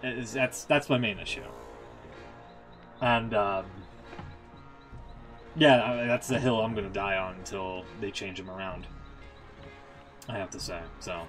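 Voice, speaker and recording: A man's voice: lowish voice, steady tone, a little slow, pauses often, a bit bright, faint music behind. A man hosting a game stream with casual, opinionated, rambling commentary and some swearing.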